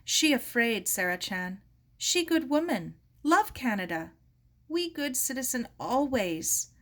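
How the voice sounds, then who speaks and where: Woman voicing a humble immigrant man, halting. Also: on the high side, sing-song, a bit bright, pitched above the performer's own voice, exaggerated voice. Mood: sorrowful, subdued. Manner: broken English, halting and polite. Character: gentle, humble older Japanese immigrant man, sorrowful.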